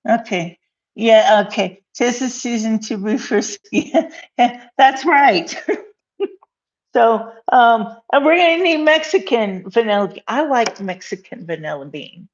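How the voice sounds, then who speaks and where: A woman's voice: fairly high pitch, lively intonation, slow. A woman in her fifties hosting a casual live cooking stream from her home kitchen, rambling with many fillers and little laughs, recorded on a phone on the counter. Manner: rambling, giggly, lots of fillers. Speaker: livestream cooking host. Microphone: phone camera on counter. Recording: dull lo-fi phone audio.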